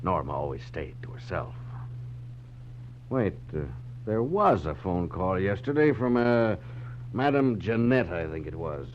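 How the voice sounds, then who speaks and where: An actor playing a grim, brusque husband hiring a detective, urgent and clipped, in 1940s radio drama style. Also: male voice, deep voice, sing-song, a little slow, pauses often. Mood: guarded, curt. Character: grim, brusque husband, a troubled client hiding hostility. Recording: dull, noisy 1940s radio broadcast, hum.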